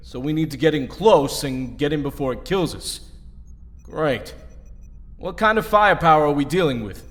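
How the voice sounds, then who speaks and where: An actor playing a hot-headed young superhero team leader in an audio drama, combative and sarcastic. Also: male voice, fairly high pitch, animated, moderate pace, fairly bright tone, long reverb tail.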